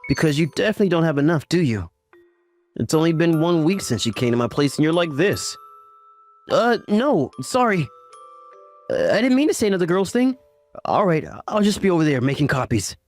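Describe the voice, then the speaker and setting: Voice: medium pitch, sing-song, a little fast, close to an everyday voice. A performer voicing a caring, teasing young uncle in a romantic comedy manga story, mixing narration with dialogue in a mild manner. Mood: flustered. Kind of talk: anime-style recap narration and dialogue. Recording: music under the voice. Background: background music.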